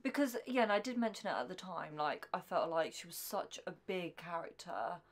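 Young woman, slightly low voice, informal and emphatic reaction-show chat. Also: animated, moderate pace. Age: 20s or 30s. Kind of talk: casual chat. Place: small furnished room at home. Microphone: desk microphone in front.

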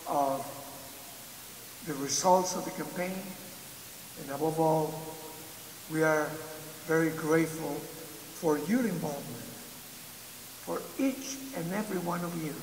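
An older man, a church leader, giving a slow, measured video message with pauses and fillers, heard as it plays on a screen in a large church. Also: a little high. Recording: echoey church hall with hiss.

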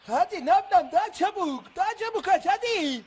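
An actor voicing an excitable, childlike little boy calf in a children's puppet show, whiny, eager and exclamatory. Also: very high voice, moderate pace, a crowd in the background. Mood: impatient.

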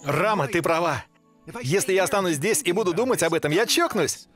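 A man's voice: high-pitched, expressive, brisk, over music. An actor voicing an unsure, worried teenage boy in a cartoon dub.

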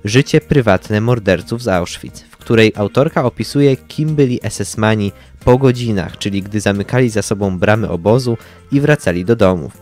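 Low-pitched young man presenting books. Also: moderate pace, hardly any pauses, darkish tone, soft background music. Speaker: podcast co-host. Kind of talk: scripted book recommendations.

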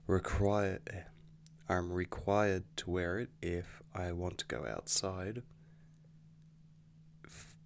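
A man acting a weary, easygoing werewolf boyfriend in an ASMR audio roleplay, speaking in a halting, casual, understated way with dry affection. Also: sits low, exaggerated voice. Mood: dismayed, weary. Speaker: roleplay voice actor. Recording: clearly audible background hum.

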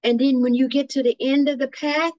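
A woman leading a guided imagery meditation in a slow, soothing, devotional manner over a video call. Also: high voice, steady tone, a little mellow, no echo. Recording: muffled, dry video call audio.

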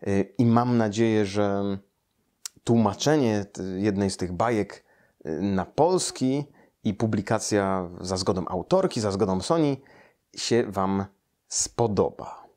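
A man's voice: lowish voice, takes it slowly, darkish tone. A man, a storyteller reading a children's tale aloud in a room. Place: small furnished room, indoors.

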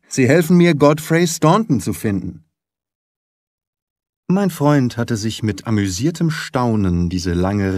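A man's voice: mid-range voice, darkish tone, no room sound. Male audiobook narrator, dry studio sound. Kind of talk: scripted literary narration.

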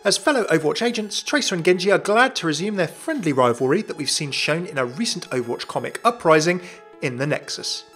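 A young man narrates a scripted fan video. His voice sits a little high for a man. He is chatty, with jokey asides, and soft music plays behind him. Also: expressive, quick pace.

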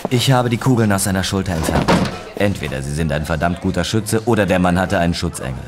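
A man playing a matter-of-fact hospital doctor in a German audio drama, measured and firm. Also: deep voice, expressive, very noisy.

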